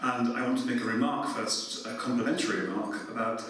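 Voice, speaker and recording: Audience member, male, formal and courteous, loud and distant in an echoey hall. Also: medium pitch, brisk. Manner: formal and courteous, speaks loudly without the mic. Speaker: audience member asking a question. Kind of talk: audience question at a panel. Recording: echoey hall from far off, faint noise.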